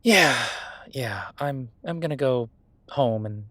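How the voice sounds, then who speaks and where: A man's voice: medium pitch, animated, slow, bright, forceful tone, dry recording, exaggerated voice. A man playing a friendly, self-made CEO and old college friend in a roleplay audio drama, talking casually with stammers and self-deprecation. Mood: flustered, embarrassed. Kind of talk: intimate one-sided roleplay audio drama, conversational.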